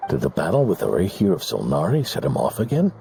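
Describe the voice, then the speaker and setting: A low, mellow old knight talks with weary kindness and expressive rise and fall. It is game dialogue with faint music behind. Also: male voice, fast talker, vocal fry, heavily acted. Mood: weary, gentle. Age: older. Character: kindly weary grandfatherly veteran knight, regretful and wise. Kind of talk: video game voice acting.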